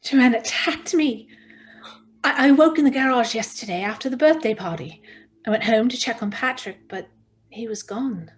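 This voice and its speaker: Woman doing rattled confused survivor lady, fairly high voice, audio drama read. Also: moderate pace, lightly acted, rough recording. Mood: shaken, defensive. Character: shaken, bewildered woman survivor of a violent attack.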